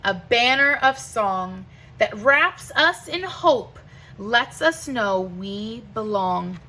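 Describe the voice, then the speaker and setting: A woman reads a children's picture book aloud at a very slow, measured pace. Her voice is bright and fairly high, and she places each phrase with deliberate emphasis, as a teacher would. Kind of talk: expressive read-aloud of a rhyming picture book.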